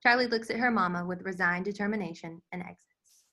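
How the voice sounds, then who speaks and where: A woman's voice: on the low side, a little fast, pushed and bright. A woman reading scripted stage directions as the narrator of a screenplay table read. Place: virtual table read over video call.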